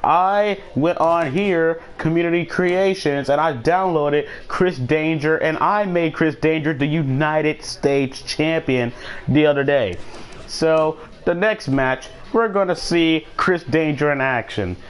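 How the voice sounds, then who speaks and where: A man's voice: a little high, slow pace, clear, resonant tone. A man chatting casually as he hosts a live gaming stream and commentates on the action, often repeating phrases for emphasis.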